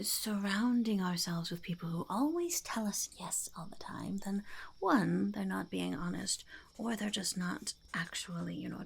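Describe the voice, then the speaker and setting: A woman voicing a blunt, earnest female goblin shopkeeper in an ASMR roleplay, whispering and speaking softly with a gravelly creak and musing to herself. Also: fairly high pitch, full character voice. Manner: musing, hesitant, repeating phrases to herself.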